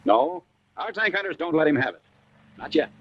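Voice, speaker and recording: A man's voice: sits high, big pitch swings, moderate pace. Muffled 1940s American male training film narrator. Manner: punchy, colloquial, wartime slang. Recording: muffled, raspy vintage 1940s film soundtrack.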